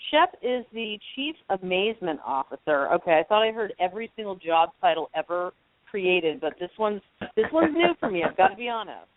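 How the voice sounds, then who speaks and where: A woman's voice: medium pitch, dry recording. A woman co-hosting a radio talk show, playful and teasing as she introduces and questions her guest. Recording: dry, tinny phone line.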